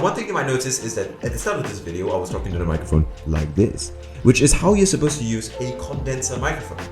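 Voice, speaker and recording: A man with a booming, low, slightly hoarse voice reviews a USB microphone in a casual, dryly sarcastic way, tired late at night. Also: roomy sound. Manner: dry, sarcastic humor. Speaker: tech reviewer. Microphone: USB condenser microphone close-up.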